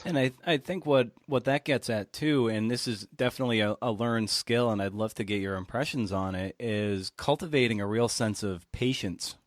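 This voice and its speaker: A man's voice: fairly low pitch, moderate pace, few pauses, no room sound, clean studio sound. A man hosting a podcast, putting questions to his guest in a conversational way. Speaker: podcast host, interviewer. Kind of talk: conversational interviewing.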